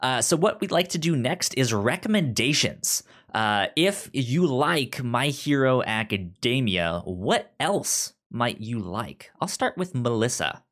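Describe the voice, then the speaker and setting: A man's voice: mid-range voice, big pitch swings, unhurried, few pauses, a bit bright. A man hosting a casual podcast, moderating the chat with brief, agreeable remarks. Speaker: podcast host.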